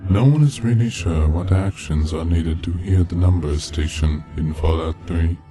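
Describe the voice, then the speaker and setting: A man reading a scripted narration in a measured, deliberate manner. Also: deep voice, mellow. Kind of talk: scripted narration of a video game mystery. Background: background music.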